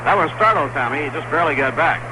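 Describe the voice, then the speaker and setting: A man calling baseball play-by-play on the radio in the 1960s, brisk and easygoing. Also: on the high side, expressive. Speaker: play-by-play announcer. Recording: noisy vintage radio broadcast.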